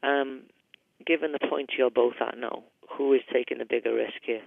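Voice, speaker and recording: A man's voice: mid-range voice, fairly even intonation, moderate pace, vocal fry, no echo. A man putting questions as a reporter on a press phone call. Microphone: telephone. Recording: narrowband telephone line.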